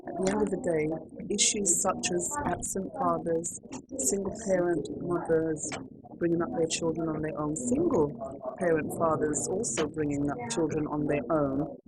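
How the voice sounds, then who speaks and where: A woman speaking unscripted about herself and a project, earnest and deliberate, repeating words for emphasis. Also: low-pitched. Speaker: radio drama cast member. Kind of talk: introducing herself to camera, unscripted. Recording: clearly noisy room ambience.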